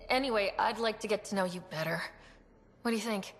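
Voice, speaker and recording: A woman's voice: mid-range voice, brisk, lightly acted. An actress playing a young, supportive space explorer in a video game, casual and curious, with reverb and music around her. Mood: gentle, interested. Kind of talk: video game cutscene acting. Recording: reverberant hall, music under voice.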